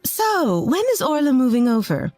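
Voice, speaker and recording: A woman's voice: a little high, sing-song, moderate pace, a little mellow, no room sound, faint music behind. A woman voicing a pushy, scornful middle-aged mother-in-law with a dismissive, needling manner in a narrated story. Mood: cheerful, needling.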